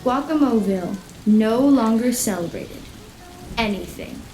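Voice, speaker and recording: A woman's voice: fairly high pitch, expressive, a little slow, mellow. A woman reading atmospheric, scripted story narration for a radio play. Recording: echoey room with rain sounds.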